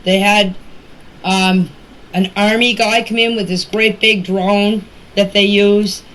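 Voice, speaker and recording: A woman's voice: medium pitch, flat delivery, takes it slowly, fairly bright tone. A woman giving a casual, rambling personal account in plain, folksy words, recorded as voice messages. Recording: noisy voice-message clips with background ambience.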